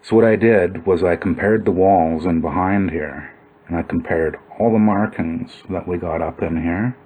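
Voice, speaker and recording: A man's voice: low-pitched, normal speed, a little mellow, slightly rough, poor audio. A man giving informal explanatory commentary on old photos, musing and thinking aloud as he goes. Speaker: video narrator.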